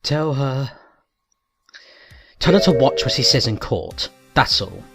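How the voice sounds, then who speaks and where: A man's voice: medium pitch, animated, slow pace, gravelly, music in the background. A man playing a proud, brooding prosecutor who speaks in a clipped, aloof way in a fan audio drama. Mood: curt, reserved. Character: proud, curt, brooding male prosecutor on trial.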